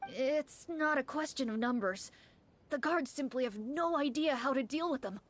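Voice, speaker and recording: Earnest young townsperson. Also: female voice, high voice, animated, normal speed. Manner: earnest, eager storytelling. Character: earnest hopeful young townsperson seeking hunters. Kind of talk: game voice acting.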